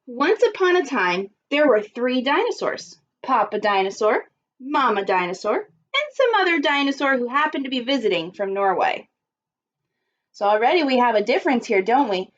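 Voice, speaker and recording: A woman teacher reading a children's story aloud expressively and pausing to put questions to her listeners. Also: fairly high pitch, big pitch swings. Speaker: primary school teacher. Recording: dull lo-fi recording.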